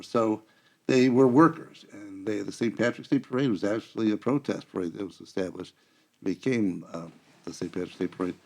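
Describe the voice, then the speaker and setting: Male, fairly low gravelly voice, informal explainer who keeps restarting his sentences. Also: darkish tone. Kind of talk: casual chat, explaining.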